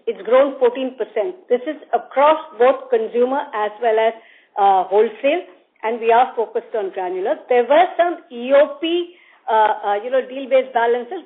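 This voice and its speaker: A woman, a bank executive answering on an earnings call in a businesslike, emphatic way. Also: fairly high pitch. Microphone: phone conference call. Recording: tinny narrowband phone line.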